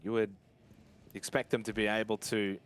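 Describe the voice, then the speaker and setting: A man's voice: on the low side, level tone, normal speed. A man giving live play-by-play commentary on an esports match as a caster.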